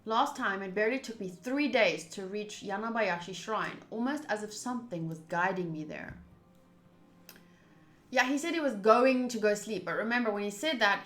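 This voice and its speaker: A woman's voice: mid-range voice, moderate pace, a bit bright. A chatty woman doing casual playthrough commentary, thinking aloud and reading game text. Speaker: host of a visual novel playthrough. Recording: roomy echo, game music behind. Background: game music.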